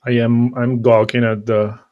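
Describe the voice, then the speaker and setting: Muffled, even-toned man in tabletop roleplay. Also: mid-range voice, unhurried. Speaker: tabletop game player. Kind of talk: describing own character's actions in roleplay. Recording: dull, muffled lo-fi microphone.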